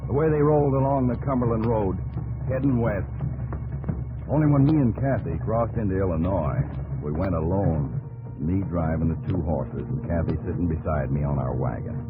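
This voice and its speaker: A man's voice: low-pitched, moderate pace, phone-quality sound, over loud music, close to an everyday voice. An American actor playing a plainspoken, stubborn pioneer farmer who tells his story in a folksy drawl, in a 1950s radio drama. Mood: reminiscing. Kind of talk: 1950s radio drama acting, narrating in character. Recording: old 1950s radio broadcast recording.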